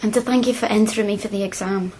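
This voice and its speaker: A woman's voice: medium pitch, fairly even intonation, fast talker, mellow, husky, natural voice. A woman playing a frank, earnest young working-class student in a radio drama, plain-spoken and colloquial. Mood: earnest, reflective.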